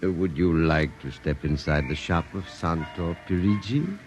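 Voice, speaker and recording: Very deep, grandiose old dollmaker, played by a man. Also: lightly acted. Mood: courtly, mysterious. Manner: pompous, slow theatrical delivery. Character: mysterious old male dollmaker shopkeeper, grandiose and theatrical. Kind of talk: 1950s radio drama acting. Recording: muffled 1950s radio recording, music behind.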